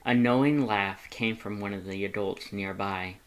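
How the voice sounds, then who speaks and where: Scripted fan fiction narrator, medium pitch. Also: steady tone, moderate pace, low-quality recording.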